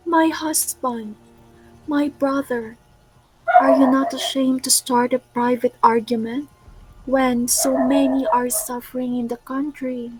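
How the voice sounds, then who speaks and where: A woman playing a concerned, peacemaking queen in a readers' theatre tragedy, with formal, deliberate delivery. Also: high voice, fairly even intonation, long reverb tail, over loud music, low-quality recording. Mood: reproachful.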